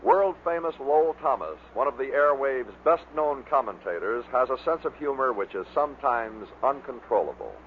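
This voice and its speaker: The voice is an American man narrating from a script. His pitch is average. The old recording is narrow and muffled, with faint noise behind him. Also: expressive, normal speed, hardly any pauses. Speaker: narrator of a radio bloopers compilation.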